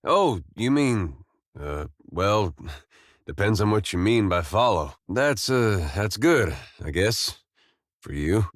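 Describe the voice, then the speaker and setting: An actor playing an easygoing, wry, war-weary farmer turned adventurer in a video game, talking in a folksy, understated way with short, plain phrases. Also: male voice, low voice, dry recording, clean studio sound. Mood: guarded, hesitant. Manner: folksy, laid-back, understated, drawling short clipped phrases. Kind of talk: video game companion dialogue.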